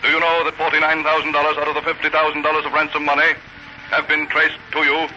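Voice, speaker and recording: An actor playing a stern, accusing interrogator who declaims evidence in a 1930s radio news dramatization. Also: male voice, a little high, completely flat delivery, quick pace, pushed and bright. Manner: declamatory, prosecutorial. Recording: noisy old 1930s radio recording.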